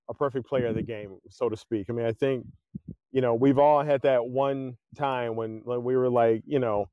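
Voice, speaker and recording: A man hosting a casual interview podcast, playful and quick to laugh as he asks questions. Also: medium pitch, dry recording, highs cut off. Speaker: podcast host.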